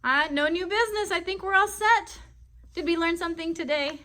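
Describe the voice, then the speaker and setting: A woman's voice: very high voice, a little fast, bright, forceful tone. A woman voicing a friendly, encouraging puppet host, chirpy and simple in wording, for a children's puppet show. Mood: friendly, upbeat. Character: friendly, encouraging puppet buddy hosting a kids' club.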